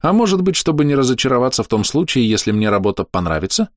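A man narrating a novel in the first person, telling the story in a measured, conversational way. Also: on the low side, no room sound, clean studio sound. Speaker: audiobook narrator.

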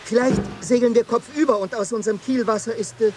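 Ein Schauspieler spielt im Hörspiel einen befehlsgewohnten, drängenden Schiffsoffizier im Sturm. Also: male voice, extremely high pitch, steady tone, brisk. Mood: commanding. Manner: urgent, commanding. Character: commanding ship's officer in a storm. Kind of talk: radio drama acting. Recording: clearly noisy ship sound effects.

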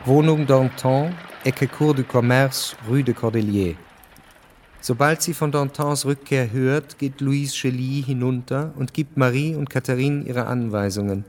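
A man's voice: mid-range voice, a little slow, darkish tone, a little hiss or hum, lightly acted. A man narrating a historical radio drama in a sober, scripted style.

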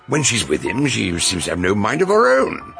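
Radio drama old colonel: grumpy English bumbler, gravelly, swooping delivery, music underneath. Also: male voice, lowish voice, huge pitch swings, normal speed, a bit bright, full character voice. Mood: disapproving. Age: older. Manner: bumbling, huffing, trailing off.

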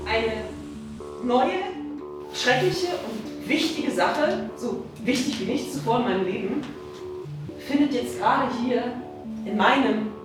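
An actress playing the solemn, awed inner voice of a shaken patient in a dramatic monologue. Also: female voice, a little high, expressive, slow pace, pauses often. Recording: heavy echo, distant, music behind.

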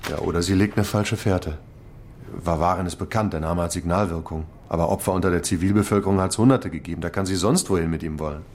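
A man's voice: deep voice, quick pace, a little mellow, a little hiss or hum, close to an everyday voice. An actor playing a methodical male police investigator who lays out facts in a matter-of-fact way in a radio play.

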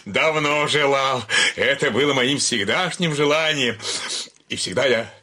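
An actor playing an effusive, fussy older country landowner in a radio play, gushing with endearments in a theatrical, husky voice. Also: male voice, medium pitch, measured pace, pushed and bright. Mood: overjoyed. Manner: gushing endearments and repeated filler phrases.

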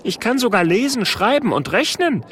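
A small, fearless boy dragon from a storybook. The voice is high and childlike, pert and cheeky, moving up and down in lively swings. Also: moderate pace, slight background noise.

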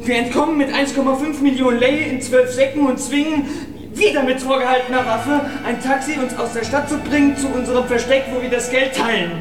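A man's voice: very high voice, steady tone, a little fast. An actor playing a male prisoner reciting a confession in a radio drama, as if from a learned text. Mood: rote, confessing. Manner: recited, present-tense confession. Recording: echoey, distant, music behind. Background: prominent music.

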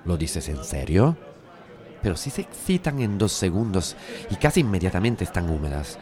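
A man's voice: sits low, sing-song, normal speed, mellow. An actor from playing an unsure, curious man who tentatively confides private worries to a friend. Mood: confiding. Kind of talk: Spanish audio fiction dialogue.

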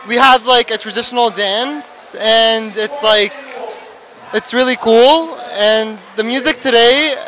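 A teenage boy with a very high, bright voice speaks casually at an outdoor festival. He pauses often and leans on "like" as he hunts for words. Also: low-quality recording. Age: teens. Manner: hesitant, lots of "like" fillers. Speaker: interviewee. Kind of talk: casual street interview.

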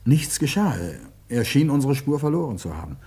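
A man acting an earnest Englishman caught in a troubled love affair in a German radio play, speaking in a measured, deliberate way. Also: medium pitch, big pitch swings, mellow, husky. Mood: grave, reflective.

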